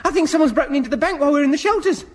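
An actor playing an eager, naive young English bank clerk and home guard private in a radio sitcom, boyish and earnest. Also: male voice, very high voice, expressive, racing. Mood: flustered. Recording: slightly noisy old radio recording.

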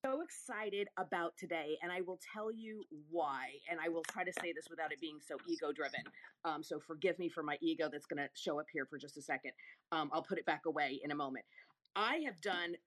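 An excited woman from Italy co-hosts a live audio show, gushing over her guest with plenty of fillers and restarts. Her voice sits slightly low. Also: fairly quick. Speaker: show co-host. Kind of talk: casual chat.

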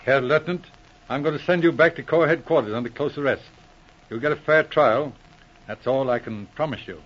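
An actor playing a shrewd, resolute military police inspector in a 1940s radio drama, with clipped, authoritative speech. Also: male voice, mid-range voice, fairly quick, husky, natural voice. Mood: stern, controlled. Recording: muffled old radio recording.

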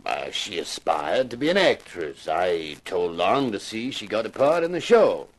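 A rich, self-important store owner in old radio drama. His voice is low but rises and falls grandly, with formal phrasing broken by hesitant uhs. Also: very animated, measured pace, exaggerated voice. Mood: discreet, embarrassed. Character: pompous, wealthy male store owner and show sponsor. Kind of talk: 1950s radio drama acting.

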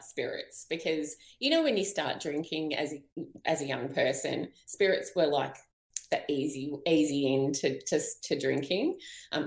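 A woman's voice: extremely low pitch, vocal fry, reverberant. An Australian woman in her fifties, a guest in a podcast interview, telling her own story candidly with many ums and light laughs while losing her voice. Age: 50s. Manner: chatty, many ums and you knows, frequent light laughs.

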